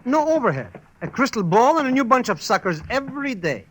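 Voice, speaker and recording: An actor playing an excitable, wisecracking male sidekick in an old radio adventure drama, slangy and theatrical. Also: very high voice, brisk, heavily acted, low-quality recording. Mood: wry. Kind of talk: 1940s radio drama acting. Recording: old radio recording, music underneath.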